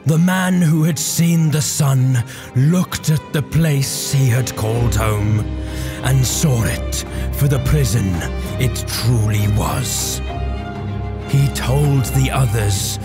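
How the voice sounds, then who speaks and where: A man playing a grave, impassioned warrior orator in a theatrical, measured audio drama monologue over music. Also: mid-range voice, lightly acted. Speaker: voice actor.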